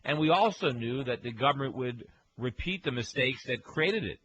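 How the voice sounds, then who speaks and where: A man hosts a call-in radio show from his home studio, his voice bright and a bit sharp. He talks in a quick, chatty run, full of fillers and restarts. A faint hiss sits underneath. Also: mid-range voice, expressive, muffled recording. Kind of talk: casual call-in talk radio.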